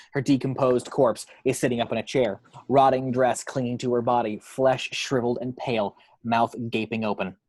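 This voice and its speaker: A man narrates the scene directions of a film script. His voice sits at an average pitch, and the sound is dry, without room echo. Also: darkish tone. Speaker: reader of action descriptions. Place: at home.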